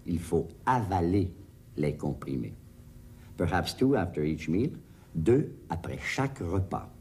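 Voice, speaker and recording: A man calmly explaining vocabulary as a language teacher. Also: low-pitched. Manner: calm, deliberate, explanatory.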